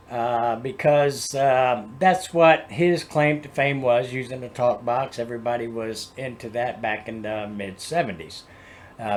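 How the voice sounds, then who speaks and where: Guy doing a laid-back music reaction, kinda higher voice, rambles as he explains stuff. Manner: rambling, loosely improvised. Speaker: host of a music reaction and review channel. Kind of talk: casual chat, explaining music history.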